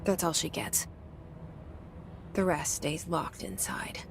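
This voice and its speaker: A woman's voice: sits low, steady tone, takes it slowly, gravelly, background noise, voice pushed down, exaggerated voice. An actress voicing a young woman's wry inner monologue in a video game, muttered as if to herself. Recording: music underneath.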